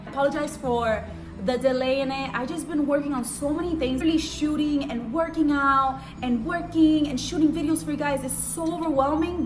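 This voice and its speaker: A young woman born in Cuba and raised in Israel, whose first language is Hebrew, rambling fast and slangy through a casual vlog in a room set up for filming. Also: sits high. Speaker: vlogger. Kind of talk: casual get-to-know-me vlog. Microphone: camera mic.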